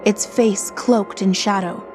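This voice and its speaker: A woman's voice: mid-range voice, steady tone, darkish tone. A woman narrating a scripted horror story in a dramatic, suspenseful manner. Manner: slow, dramatic, suspenseful delivery. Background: music.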